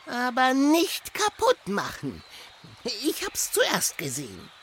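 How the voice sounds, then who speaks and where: Excitable little chipmunk, high and swooping. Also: female voice, takes it slowly, slight background noise, heavily acted. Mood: excited, proud. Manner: childlike, excitable. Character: small eager young chipmunk, playful and proud. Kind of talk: children's audio drama acting.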